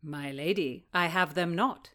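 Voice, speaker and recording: A woman's voice: lowish voice, a little slow, sharp tone. Pompous nobleman, read by a female narrator, flowery and taunting, historical audiobook. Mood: flattering. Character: haughty, baiting, flattering nobleman. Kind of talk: dramatized historical fiction reading.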